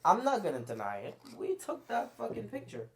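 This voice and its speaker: A man in his twenties, born in the Caribbean, telling a story on a casual podcast, halting and full of fillers. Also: sits high, huge pitch swings, slight background noise. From Caribbean; born in Guyana, grew up in Antigua. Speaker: guest.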